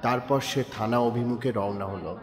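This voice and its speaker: Man narrating a crime story. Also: medium pitch. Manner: measured, descriptive storytelling. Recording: reverberant room with background music. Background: soft background music.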